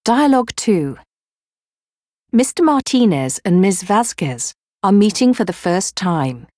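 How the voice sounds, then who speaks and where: Measured, slow-reading woman narrator. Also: mid-range voice, very animated, a little mellow, no echo, studio quality. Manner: measured, instructional. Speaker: course narrator. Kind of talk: scripted narration. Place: dry studio.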